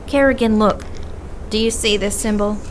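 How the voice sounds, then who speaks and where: A woman's voice: mid-range voice, fairly even intonation, normal speed, slight background noise, close to an everyday voice, low-quality recording. A woman playing a stern lore-expert detective. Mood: lecturing. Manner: lecturing, firm. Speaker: occult expert investigator. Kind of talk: audio drama acting.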